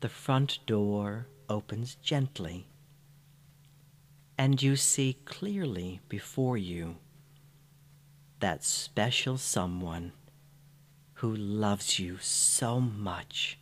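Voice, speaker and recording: A man narrating a scripted guided meditation in a soothing, gentle manner. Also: lowish voice. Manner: soothing, unhurried, gentle phrasing.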